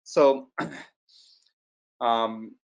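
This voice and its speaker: A man in his forties with a strong Indian accent, giving an online lecture in a measured, hesitant way with fillers. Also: mid-range voice, creaky. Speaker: data science trainer. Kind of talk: webinar lecture. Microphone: computer mic on a video call. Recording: slightly muffled online webinar audio.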